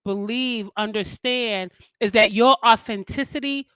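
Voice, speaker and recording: A woman with a loud, commanding voice, chatting casually on a podcast. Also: on the high side, a little slow, clean tone, no echo, rough recording.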